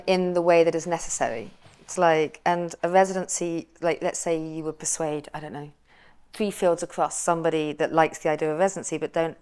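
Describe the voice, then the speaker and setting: A woman moderating a symposium panel in a lowish, smooth voice. She speaks in a measured way, pausing on filler ums as she shapes her points. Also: darkish tone. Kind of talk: panel discussion.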